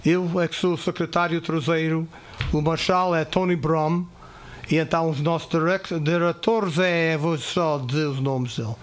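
An American man with a somewhat high voice, a native English speaker of Portuguese descent, gives a radio studio interview in unsteady Portuguese. He halts, searches for words and slips into English now and then. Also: steady tone, moderate pace. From California, third-generation Portuguese-American. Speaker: festival committee guest.

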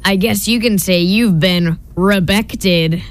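Female actor as upbeat slangy dude buddy, cocky, medium pitch, radio comedy. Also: takes it slowly, dry recording, natural voice. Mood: cocky, breezy. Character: slangy, upbeat male best buddy, bro-talking sidekick. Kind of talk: comic radio play acting.

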